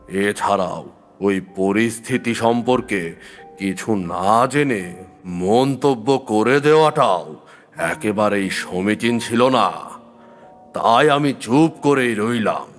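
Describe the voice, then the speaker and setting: A man playing a calm tantric occultist, narrating a horror story in a grave, measured, dramatic way. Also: on the low side.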